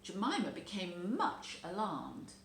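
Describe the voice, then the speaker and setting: Female storybook reader, slightly low voice, very expressive intonation, some background noise. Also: a little slow, gravelly. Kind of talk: expressive children's story narration. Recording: roomy echo, background noise.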